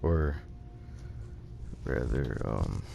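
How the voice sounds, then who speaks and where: A man with a mellow, very low voice hosts a gameplay video in a slow drawl. His delivery is casual and slangy, laid-back throughout, with faint noise behind him. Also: animated, creaky. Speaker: gaming host.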